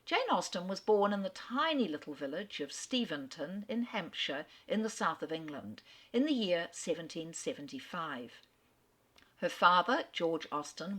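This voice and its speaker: A woman giving an enthusiastic, expressive talk on a classic author, narrating like a storyteller. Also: on the low side. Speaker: literary speaker. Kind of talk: lecture narration.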